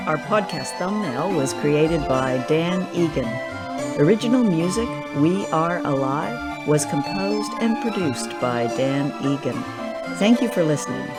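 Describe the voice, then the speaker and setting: A woman's voice: deep voice, animated, measured pace. A woman reading scripted closing credits as the writer-director of a podcast. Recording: loud music behind voice. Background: loud background music.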